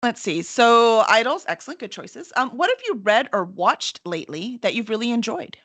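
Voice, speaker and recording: A middle-aged woman with a bright, crisp-edged voice runs a casual interview. She talks in a chatty, enthusiastic way and is quick to laugh. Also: a little high, expressive. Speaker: interviewer and book editor.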